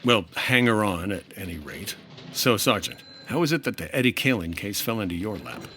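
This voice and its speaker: A man's voice: lowish voice, lively intonation, moderate pace, sharp tone, slight background noise. A man playing a patient, wry 1950s insurance investigator in old-time radio detective style, dry and understated. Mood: businesslike. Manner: dry, understated, world-weary.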